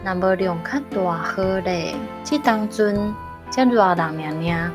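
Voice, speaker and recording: A woman's voice: mid-range voice, unhurried, close to an everyday voice. A woman playing a caring, hardworking mother in a radio drama, speaking expressively with a Taiwanese accent. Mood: fond, musing. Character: caring, hardworking mother who sews for her daughters. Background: background music.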